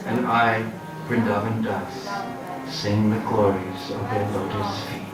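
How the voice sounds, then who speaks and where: A man giving a dramatic devotional scripture reading, reverent and deliberate with long pauses. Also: lowish voice, fairly even intonation. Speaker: devotional reader and chant leader. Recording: echoey, distant, music behind.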